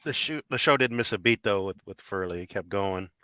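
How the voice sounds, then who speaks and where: A man's voice: on the low side, lively intonation, brisk, sharp tone, smooth, no room sound, studio quality. A man talking casually as a panelist on a group podcast.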